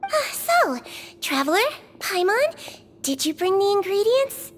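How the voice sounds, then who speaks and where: A woman voicing a small, kind, unfazed nonhuman head nurse in a video game, gentle, patient and playfully matter-of-fact. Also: very high voice, very animated, measured pace, noisy background, heavily acted. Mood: friendly, encouraging. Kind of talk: English video game voice acting.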